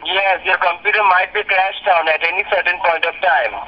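High-pitched male phone caller, muffled. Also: fairly even intonation, a little fast. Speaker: call center caller posing as tech support. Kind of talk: scripted phone sales-style instructions. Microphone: phone speaker recorded by a nearby camera. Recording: dull speakerphone call picked up by camera.

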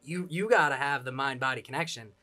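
A man's voice: medium pitch, brisk, sharp tone. A man in his twenties from Pennsylvania, a guest musician chatting easily and encouragingly on a studio lounge set into a desk mic. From Pennsylvania, USA. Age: 20s. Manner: easygoing, encouraging, says "like" a lot. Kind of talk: casual chat. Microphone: desk mic on stand.

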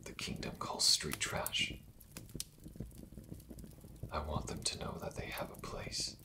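A man playing an idealistic, protective crime boss in an ASMR fantasy roleplay, speaking slowly and intimately in a breathy half-whisper. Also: close to an everyday voice, poor audio. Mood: tender, reassuring. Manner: slow, intimate, measured, formal wording.

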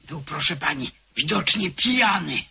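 A woman's voice: sits low, lively intonation, moderate pace, vocal fry, crowd noise. A woman playing a talkative, gossipy servant in a radio drama, telling a story with theatrical flair. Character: chatty old female servant gossiping.

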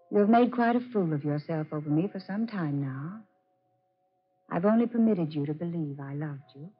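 A woman's voice: sits low, slightly rough. An actress in a 1940s radio drama playing a cold, dismissive woman who jilts her suitor. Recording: muffled old 1940s radio recording.

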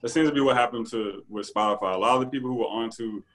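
A man hosting a casual video-call interview, relaxed and conversational. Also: medium pitch, rapid-fire. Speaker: podcast host.

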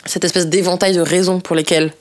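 A woman's voice: lowish voice, level tone, fast. Frank, slangy young woman chatting. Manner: frank, slangy, sprinkles in English phrases. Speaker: podcast guest. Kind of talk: casual chat. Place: small indoor podcast studio. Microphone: podcast microphone on a desk stand.

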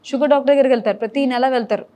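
Woman, thirties, high-pitched, brisk, doctor explaining in interview. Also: mellow. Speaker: doctor, interventional pain specialist.

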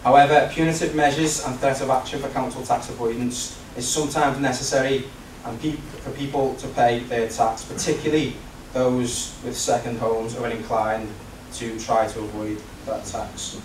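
A man's voice: mid-range voice, flat delivery, moderate pace, few pauses. A man serving as councillor, making a formal prepared debate speech in a large council chamber. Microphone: recorded from the back of the room. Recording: distant, echoey, noisy council chamber recording.

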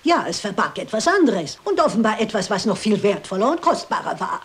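A woman's voice: mid-range voice, big pitch swings, fairly quick, natural voice. An actress playing a shrewd elderly lady detective in a German crime drama, speaking precisely and with polite insistence. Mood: assured, explaining. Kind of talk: German dubbed crime film acting.

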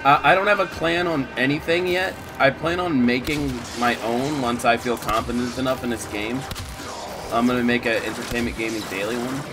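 A laid-back man streaming a video game, chatting and rambling to his viewers through a desk mic. His voice is getting weak and sits at an ordinary pitch. Faint noise sits in the background. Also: normal speed. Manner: relaxed, rambling, greeting viewers. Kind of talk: casual live-stream chat, reading viewer chat.

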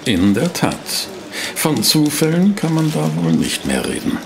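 An actor playing a courteous, inquisitive newspaper reporter in a German audio drama, speaking politely and formally. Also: male voice, mid-range voice, wildly swooping pitch, measured pace, crowd noise. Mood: concerned. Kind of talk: German audio drama acting and first-person narration.

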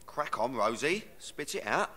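An actor playing a cocky, chummy English music hustler in a game, breezy and slangy. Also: male voice, medium pitch, big pitch swings, a little slow, sharp tone. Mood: exasperated, brisk. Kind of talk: video game cutscene acting.

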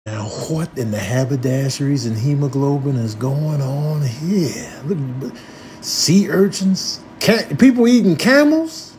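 A man's voice: a little high, animated, slow pace, a little mellow, a little hiss or hum. A man doing improvised comic voiceover commentary, full of slangy, exclamatory trash talk. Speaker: voiceover comedian.

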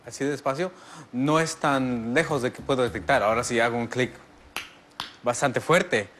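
A man, a TV guest who explains things calmly and jokes back in a casual studio interview. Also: mid-range voice, normal speed. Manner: easygoing, jokes back, describes objects step by step. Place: large TV studio set. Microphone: lapel. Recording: faint studio noise.

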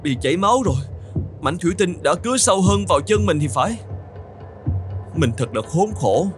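A man voicing an excitable, self-pitying young male camel in an animated fairy tale, exclaiming and talking to himself. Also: high-pitched, moderate pace, soft background music. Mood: miserable. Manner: exclamatory, whining, talks to himself.

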